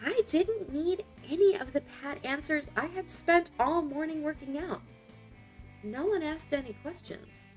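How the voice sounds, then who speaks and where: A woman playing a wry young narrator in a motion comic, telling her story dryly with dramatic pauses. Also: high-pitched, a little mellow, faint music behind. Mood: wry, reflective. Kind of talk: scripted first-person storytelling in a motion comic.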